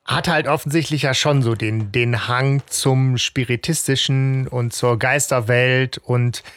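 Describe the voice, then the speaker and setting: A man co-hosting a fan podcast, joking and laughing as he talks about a radio play, with drawn-out filler pauses. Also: medium pitch, no echo. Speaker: podcast co-host. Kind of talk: casual discussion of a radio play.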